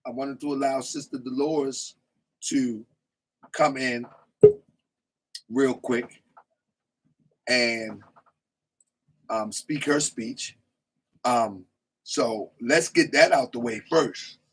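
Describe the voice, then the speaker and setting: A man hosting a casual live call-in show, hoarse and losing his voice, rambling with stammering restarts. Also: medium pitch, lively intonation, slow, pauses often, rough recording. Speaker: host.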